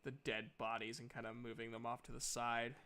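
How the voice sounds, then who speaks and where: A man's voice: mid-range voice, little pitch movement, brisk, sharp tone. A man narrating casually as game master of a tabletop game.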